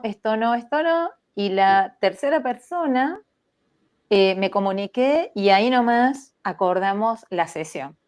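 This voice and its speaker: A woman, telling her story as a podcast guest in a reflective, measured way that livens up when she quotes herself. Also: a little high, darkish tone. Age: 60s. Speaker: spiritual therapist guest.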